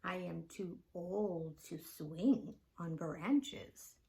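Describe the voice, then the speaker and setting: A woman voicing a sad, tired old man in a storybook, with a weary, subdued, slow delivery. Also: fairly low pitch, creaky, warm tone, voice pushed down, exaggerated voice.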